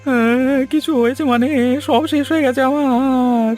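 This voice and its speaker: A man's voice: very high-pitched, fairly even intonation, moderate pace, a little mellow, soft background music, pitched above the performer's own voice. A man voicing a greedy, distressed shopkeeper in a cartoon story, complaining plaintively. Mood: distraught. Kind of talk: Bengali cartoon story voice acting.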